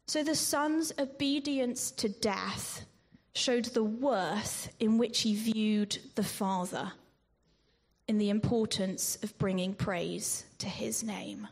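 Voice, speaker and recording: A woman preaching a sermon in a measured way, with ums and restarts, sometimes dropping to a whisper on scripture. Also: medium pitch, creaky, breathy edge, low-quality recording. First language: not Greek. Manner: slow, measured delivery with frequent ums and restarts.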